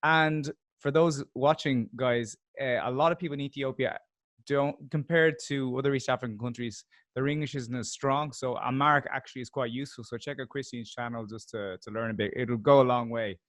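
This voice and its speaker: A man hosting an interview, chatty and curious, asking relaxed questions. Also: medium pitch, brisk. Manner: chatty, curious, drops in learned phrases. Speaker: host. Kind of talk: casual interview chat.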